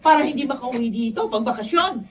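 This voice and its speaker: Radio drama: actress as reproachful middle-aged Filipino mother, high and animated, off-mic. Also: fast talker, lightly acted. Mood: scolding, disappointed. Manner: stern, reproachful. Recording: distant from the microphone.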